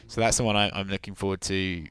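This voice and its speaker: A man's voice: deep voice, steady tone, quick pace, a bit bright, clean tone, dry recording. Tipsy, laughing male podcast guest. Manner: loose, laughing, swears freely. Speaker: podcast guest, media personality. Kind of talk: casual podcast chat.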